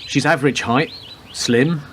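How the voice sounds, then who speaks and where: Man acting as wisecracking detective, laconic, deadpan, macabre wit, mid-pitched, radio drama, audible ambient noise. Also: unhurried, close to an everyday voice. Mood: wry, matter-of-fact. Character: wisecracking male police detective with macabre humour. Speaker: actor in a community radio play.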